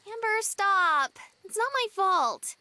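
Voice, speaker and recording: An actress playing a quirky, cheerful young woman in a visual novel, with a playful, pouty, expressive delivery. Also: extremely high pitch, slow pace, no room sound, full character voice.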